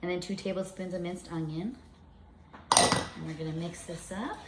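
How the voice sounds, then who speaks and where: A woman's voice: lowish voice, steady tone, normal speed, a bit bright, reverberant, slight background noise. Chatty woman in her fifties cooking. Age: 50s. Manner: chatty, step-by-step, cheerful. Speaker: home cooking vlogger. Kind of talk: casual cooking demonstration. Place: home kitchen.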